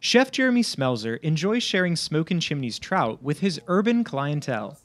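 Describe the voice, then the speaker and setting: Lively male news narrator. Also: fairly high pitch, normal speed. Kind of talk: scripted voice-over narration.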